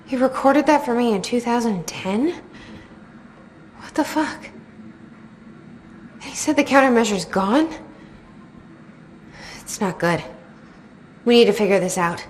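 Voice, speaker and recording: A woman's voice: fairly high pitch, animated, slow pace, pauses often, slight background noise. An actress playing a tough, cautious and skeptical American woman, perhaps in her thirties, in a naturalistic video game style. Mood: troubled, puzzled.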